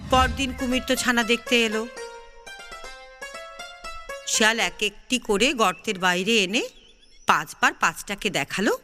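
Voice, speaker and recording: A woman's voice: a little high, measured pace, long pauses, bright, forceful tone. A woman narrating a children's story expressively, with music behind her. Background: background music.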